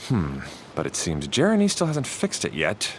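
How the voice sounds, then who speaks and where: A man's voice: lowish voice, huge pitch swings, normal speed, a little hiss or hum. A man voicing an earnest young railway repairer in a video game, explaining things with a grumbling edge. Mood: exasperated. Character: young male railway repairer, earnest, aggrieved by his brother.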